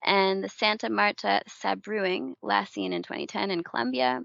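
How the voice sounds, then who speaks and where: A woman who grew up in the Philippines, co-hosting a casual news podcast, chatty and quick to laugh, stumbling over hard names. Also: mid-range voice, steady tone, creaky, fairly bright tone, no echo. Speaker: podcast co-host. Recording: dull lo-fi dry recording.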